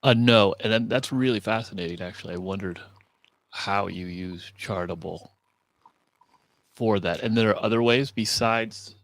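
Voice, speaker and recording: A man's voice: lowish voice, moderate pace, fairly bright tone, no echo. A man hosting an online talk, casually and politely stepping in with questions as moderator. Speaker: host relaying audience questions.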